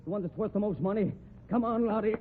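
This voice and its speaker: A man's voice: high-pitched, quick pace, lightly acted. A man playing a defiant, villainous rodeo boss with an American accent and a blustering manner, in old-time radio drama style. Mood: menacing, desperate. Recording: noisy, tinny old radio recording.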